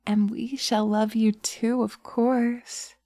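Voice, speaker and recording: A woman playing a seductive, vengeful witch in a hypnosis roleplay, coaxing softly and intimately, sometimes slipping into a whisper. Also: on the high side, little pitch movement, slow, no echo, studio quality. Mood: adoring, sly. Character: sly, seductive, vengeful female witch casting a hypnotic spell. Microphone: close binaural mic, dry.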